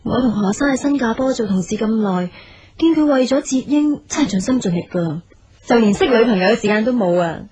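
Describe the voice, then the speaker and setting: A woman's voice: on the high side, expressive, fairly quick, warm tone, husky. A woman playing a chatty, obliging long-serving office employee in a radio drama, with a Hong Kong Cantonese accent. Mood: gossipy. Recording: slightly dull radio recording.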